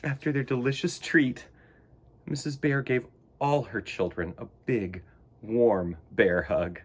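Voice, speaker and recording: A man hosting a storytime for children, speaking to young viewers in an expressive, theatrical and earnest way. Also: medium pitch, takes it slowly, a little hiss or hum. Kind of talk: expressive read-aloud and talk to young viewers.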